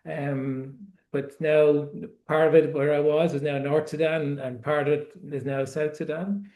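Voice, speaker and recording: A man in his forties with an Irish accent, his voice sitting a little higher than most men's. He tells his story in an unhurried, reflective way, often doubling words as he searches for them. The sound carries a noticeable room echo. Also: level tone, mellow. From Ireland. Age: 40s. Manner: reflective, repeats words as he gathers thoughts. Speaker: guest author. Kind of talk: casual interview storytelling.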